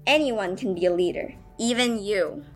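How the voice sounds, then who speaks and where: A woman's voice: medium pitch, moderate pace, soft background music. A female student earnestly reading a prepared speech aloud, addressing listeners with rhetorical questions. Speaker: student reading own essay.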